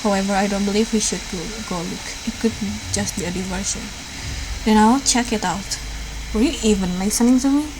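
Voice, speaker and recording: A woman's voice: mid-range voice, fairly quick. Woman reading game dialogue over background noise. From Indonesia. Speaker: let's play streamer reading dialogue aloud. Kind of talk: reading visual novel dialogue aloud with casual asides. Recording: clear background noise, raw home recording.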